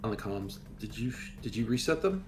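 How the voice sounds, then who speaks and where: A man's voice: lowish voice, big pitch swings, a little fast. A man chatting casually as a player in a tabletop roleplaying game. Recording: music behind the voice. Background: music.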